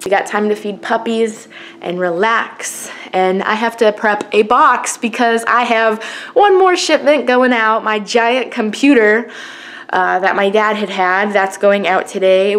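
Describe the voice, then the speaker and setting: A young woman chatting casually and ramblingly to camera at home, in the manner of a family vlogger. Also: medium pitch. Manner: rambling, chatty self-narration. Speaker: family vlogger, mother of a baby. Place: at home, indoors. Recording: clearly noisy home recording with clicks.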